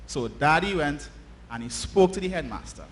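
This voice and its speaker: A man's voice: a little high, animated, normal speed. Trinidadian man storytelling, noisy live recording. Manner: conversational, checks in with listeners using short tag questions. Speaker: church children's story teller. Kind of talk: informal storytelling to a congregation. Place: church, speaking to children and congregation. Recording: noisy live church recording, often distant.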